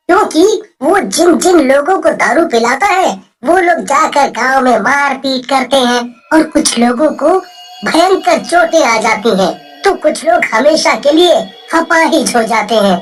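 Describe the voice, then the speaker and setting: Rich: A woman voicing a brave, kind-hearted young villager in a kids' cartoon. He speaks earnestly and plainly in a high voice, with soft music running underneath. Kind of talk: cartoon voice acting.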